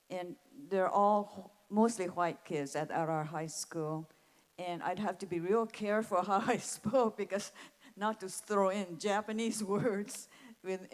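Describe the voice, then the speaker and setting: Slow-spoken older woman, reflective. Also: lowish voice. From United States. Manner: slow and reflective, with soft laughs. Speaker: Japanese internment survivor speaker. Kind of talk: personal storytelling at a school event. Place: school event hall. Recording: echoey school hall.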